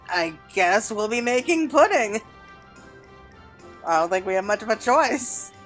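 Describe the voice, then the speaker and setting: A woman's voice: mid-range voice, lively intonation, slow pace, pauses often. A woman giving casual commentary as she plays through a video game. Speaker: gaming video host. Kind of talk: casual game playthrough commentary, reading dialogue aloud. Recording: background music under the voice.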